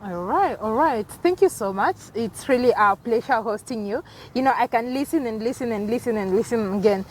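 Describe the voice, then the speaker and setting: A woman hosting an interview, thanking her guest and asking halting questions with fillers. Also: a little high, lively intonation, a little hiss or hum, low-quality recording. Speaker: host.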